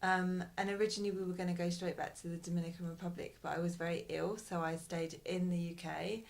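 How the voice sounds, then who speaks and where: A woman being interviewed as a parent in a casual conversation, talking thoughtfully and hesitantly with frequent pauses and restarts. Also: lowish voice, steady tone, vocal fry. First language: English.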